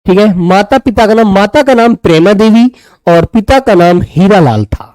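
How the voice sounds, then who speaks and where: A man's voice: high-pitched, lively intonation, moderate pace, a little mellow, dry recording. A man teaches an online exam class. His throat is a little sore. He checks in fondly with his students and repeats key phrases for note-taking. The sound is close and dry. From Uttar Pradesh, India. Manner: affectionate check-ins with students as "beta". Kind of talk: live online lesson, addressing students.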